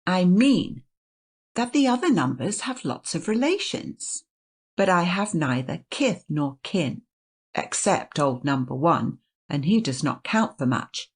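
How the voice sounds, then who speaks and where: A woman's voice: fairly low pitch, very animated, unhurried, slightly rough, exaggerated voice. A woman voicing a melodramatic male number seven who complains of his ill treatment, speaking in a raised, plaintive voice. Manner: plaintive, declamatory.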